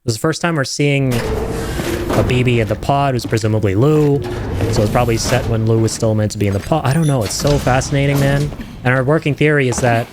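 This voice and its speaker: A man's voice: mid-range voice, fairly quick, background noise. A man giving enthusiastic, slangy, casual commentary as a streamer reacting to game trailers. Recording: loud game music under the voice.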